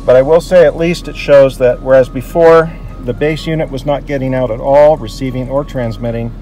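A man's voice: mid-range voice, warm tone. A middle-aged American man gives a casual, hands-on explanation with an ordinary mid-range voice. He thinks aloud in a chatty way, recorded on a phone held close, with some music around him. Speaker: vlogger demonstrating Jeep radio gear. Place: outdoors by a vehicle and inside a truck cab.

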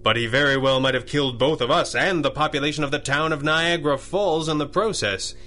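An actor playing a resolute, wry masked superhero with crisp authority in a radio drama. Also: male voice, fairly high pitch, fairly quick, sharp tone, faint music behind. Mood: grave. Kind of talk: 1930s-style radio drama acting.

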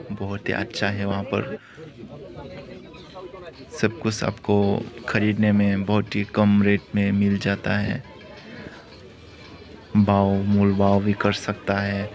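Low, monotone man vlogging. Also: vocal fry. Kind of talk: casual walk-through vlog commentary. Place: outdoor street market, walking. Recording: loud busy outdoor crowd noise.

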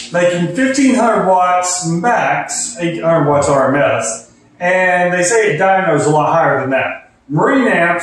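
A man's voice: sits high, a bit bright. A middle-aged man hosting a casual hands-on car audio vlog, thinking aloud as he goes. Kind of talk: casual hands-on install and review talk. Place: garage, car and house interior. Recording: roomy echo.

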